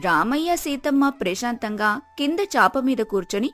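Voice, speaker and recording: A woman's voice: fairly high pitch, expressive, moderate pace, a bit bright. A woman narrator reading a scripted moral story. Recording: music behind the voice. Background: music.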